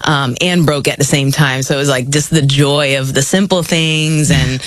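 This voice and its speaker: A woman's voice: low-pitched, fairly even intonation, moderate pace, no echo. A woman in her thirties, raised in Florida after a childhood in the Philippines, chatting as a guest author with many fillers. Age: 30s. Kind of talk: casual chat.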